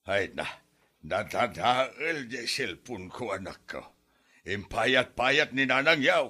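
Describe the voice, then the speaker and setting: A man's voice: mid-range voice, expressive, slow pace, bright, forceful tone, slightly rough, heavily acted. A man playing a henpecked, exasperated elderly husband in an Ilocano comedy drama, whining and protesting in an Ilocano Filipino accent. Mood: aggrieved. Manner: whining, protesting, stammered yelps.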